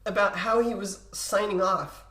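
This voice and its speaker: A middle-aged woman with a loud, projecting voice telling personal stories casually as a podcast guest, in an animated way, acting out conversations. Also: medium pitch, measured pace, echoey room, a little hiss or hum.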